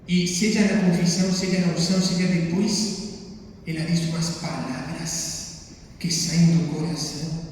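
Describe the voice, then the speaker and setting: Male priest, native Spanish speaker with a Spanish accent, higher-pitched voice, sermon delivery in phrases, very echoey church. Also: darkish tone. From Spain. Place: large reverberant church interior. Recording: very echoey church, distant mic, faint noise.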